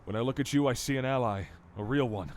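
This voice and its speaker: A man playing an earnest, persuasive mayoral candidate in an audio drama, speaking with sincere, measured polish. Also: medium pitch, a little hiss or hum, lightly acted. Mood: fervent, grave.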